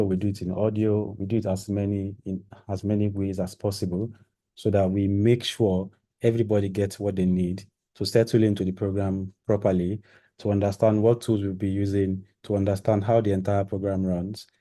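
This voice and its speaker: Man, call mic, deep voice, online orientation talk, repetitive and loose. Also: dark tone. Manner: rambling, repeats himself, many fillers. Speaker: training program organizer. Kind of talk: live-streamed orientation talk.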